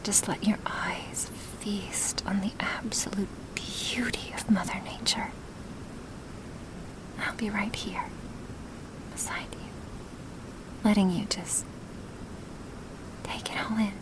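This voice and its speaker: A woman playing a gentle, apologetic female dragon in a soft-spoken ASMR roleplay, breathy and formal in her careful phrasing. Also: medium pitch, fairly even intonation, slow pace, pauses often, natural voice, low-quality recording. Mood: awed, tender. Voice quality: breathy, half-whispered.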